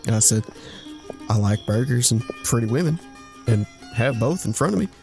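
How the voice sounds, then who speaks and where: A man's voice: medium pitch, lively intonation, moderate pace, faint music behind, natural voice. An actor playing a bashful, bragging womanizer in an audio drama, telling racy tales in a folksy, stumbling way full of innuendo. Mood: sly, boastful. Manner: folksy, innuendo-laden, stumbling into self-corrections. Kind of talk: audio drama acting, anecdotal storytelling.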